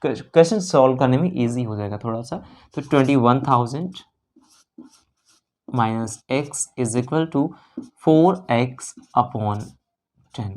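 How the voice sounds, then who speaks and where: Indian teacher, mid-pitched voice, exam-prep maths lesson, step-by-step explanation, repeated phrases, English terms mixed in, frequent check-ins. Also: slow, pauses often, a little mellow.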